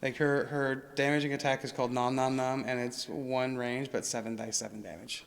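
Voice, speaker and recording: A man's voice: mid-range voice, little pitch movement, brisk, vocal fry. A man casually talking through a tabletop game as its teacher, easygoing and joking. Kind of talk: casual chat during a board game.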